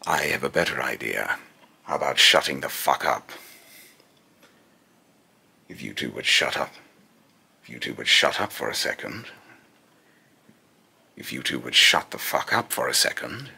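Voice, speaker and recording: A man's voice: rumbling low, sing-song, sharp tone, full character voice. A young man playing a menacing, scornful godlike villain in pompous, theatrical monologue, recorded in a small room at home. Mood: irritated. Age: young adult. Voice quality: gravelly, raspy. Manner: slow, pompous, theatrical delivery. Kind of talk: dramatic villain monologue, rehearsed voice lines.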